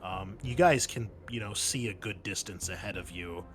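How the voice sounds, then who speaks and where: A man running a tabletop roleplaying game as game master, explaining rules and scenes with thinking pauses. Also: on the low side, fairly bright tone, a little hiss or hum. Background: music.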